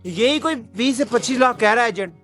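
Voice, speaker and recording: A man's voice: extremely high pitch, expressive, brisk, a bit bright, soft background music. A young man playing an eager, naive son, persuasive, in a dramatised moral story. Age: young adult.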